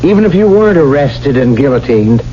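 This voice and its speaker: An actor playing a worried, sensible friend in a 1970s radio drama, earnest and cautioning. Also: male voice, medium pitch, big pitch swings, fairly quick, warm tone, natural voice. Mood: worried, reasoning. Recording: dull, noisy old radio recording.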